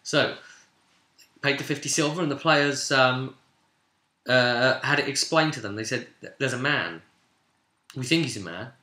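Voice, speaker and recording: A man's voice: mid-range voice, measured pace, pauses often, sharp tone. A man casually retelling a tabletop role-play session as game master, rambling and informal, slipping into bits of dialogue. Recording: echoey room.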